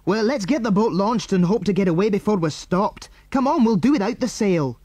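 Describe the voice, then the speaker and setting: A man voicing a bold, excitable boy in a storybook, giving urgent orders to his friends. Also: sits high, fairly quick, no room sound, voice pushed up, natural voice. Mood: uneasy, urgent. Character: bold, excitable boy leader of stranded children.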